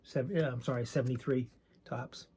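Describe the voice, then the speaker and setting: A soft-spoken man with a raspy voice, chatting casually and rambling a bit while showing his collection. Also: medium pitch, steady tone, moderate pace. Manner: soft-spoken, not loud; rambling, hesitant with many ums. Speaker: card collecting channel host. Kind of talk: casual show-and-tell chat.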